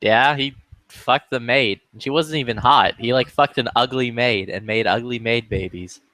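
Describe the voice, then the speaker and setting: A man's voice: medium pitch, normal speed, bright, forceful tone, dry recording. A man chatting casually over a headset, joking crudely, swearing and laughing often. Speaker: lobby chat participant.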